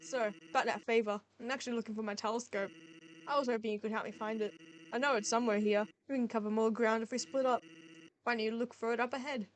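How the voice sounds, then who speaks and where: A woman's voice: on the high side, steady tone, fast, lightly acted. Woman doing a drowsy skeleton sentry. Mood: lazy. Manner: drowsy, laid-back drawl. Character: lazy sleepy male skeleton sentry.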